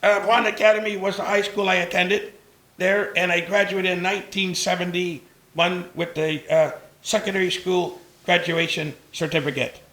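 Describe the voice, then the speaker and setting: A man's voice: sits high, little pitch movement, normal speed, sharp tone, echoey room, low-quality recording. A man in his seventies, a longtime local resident, plainly reminiscing in an interview. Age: 70s. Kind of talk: interview recollection.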